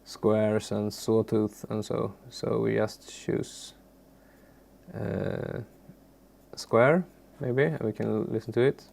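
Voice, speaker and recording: A man from Sweden, a native Swedish speaker, giving an informal, unscripted software tutorial in a halting, unassuming way with a gravelly, creaky voice. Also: lowish voice, fairly even intonation. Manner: halting, searching for words, unassuming. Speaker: music software tutorial presenter. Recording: faint background noise, keyboard clicks.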